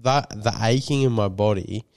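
Nasal-sounding guy, low voice. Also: moderate pace, darkish tone, dry recording, clean studio sound. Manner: rambling, swears a lot, many fillers. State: a bit nasal from a cold. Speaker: podcast co-host. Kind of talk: casual chat.

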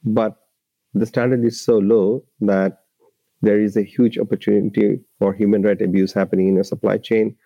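A man's voice: on the low side, level tone, moderate pace, creaky, no echo, highs cut off. A man from Bangladesh, speaking as a labour rights advocate and guest, giving earnest, explanatory interview answers with frequent restarts.

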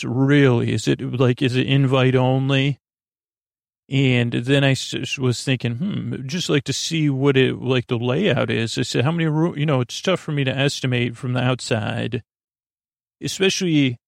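A man hosting a sleep podcast in a meandering, halting, improvised bedtime monologue with a gravelly voice, trailing off mid-sentence. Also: medium pitch, dry recording, clean studio sound. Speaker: podcast host.

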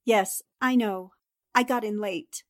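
A woman playing a sharp, commanding newspaper columnist who questions briskly in a 1940s-style radio drama. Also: medium pitch, lightly acted. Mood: probing.

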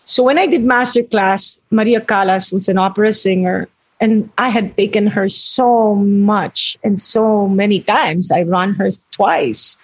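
An older woman speaks with a husky, slightly breathy voice. She talks earnestly and reflectively about her work as an actress, searching for words and correcting herself as she goes. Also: medium pitch, measured pace, poor audio. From Philippines. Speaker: actress, interview guest. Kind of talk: reflective interview talk.

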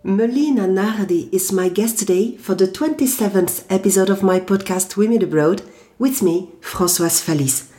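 A woman hosting a podcast, reading a measured, formal introduction before interviewing her guest. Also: mid-range voice, dark tone. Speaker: podcast host. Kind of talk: scripted intro then interview. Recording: roomy echo.

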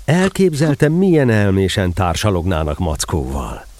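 A man narrates a storybook audio drama in a fairly low voice. His delivery is scripted and expressive, with animated rises and falls, over clear ambient noise.

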